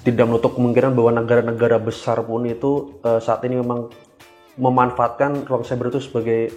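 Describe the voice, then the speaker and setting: A man, answering casually as a podcast guest, hesitant and full of filled pauses. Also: mid-range voice, flat delivery, darkish tone, faint music behind. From Indonesia. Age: 20s. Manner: hesitant, halting delivery with frequent filled pauses. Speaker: guest cyber security engineer.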